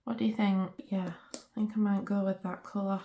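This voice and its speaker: A woman with a slightly croaky voice, chatting casually and muttering to herself as she works at her craft desk. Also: medium pitch, flat delivery, a little fast, dark tone, a little hiss or hum. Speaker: resin craft channel host. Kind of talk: casual crafting vlog, thinking aloud. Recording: roomy echo.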